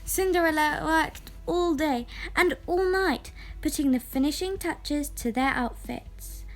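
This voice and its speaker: A woman's voice: high voice, a little slow, faint music behind. A very young female reader giving a scripted fairy-tale narration from a storybook. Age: child or very young.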